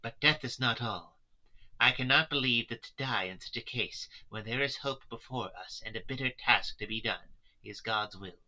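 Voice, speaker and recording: An anguished, resolute wife from a gothic storybook as read aloud by a man. The delivery is earnest and pleading, in a very bright, tense voice. The recording is somewhat dull and lo-fi. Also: mid-range voice, normal speed.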